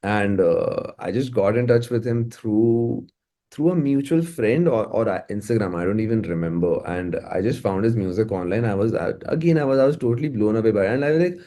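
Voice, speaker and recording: Mellow Indian man, low-voiced. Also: lively intonation, a little fast. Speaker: music producer, interview guest. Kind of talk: casual, conversational interview talk.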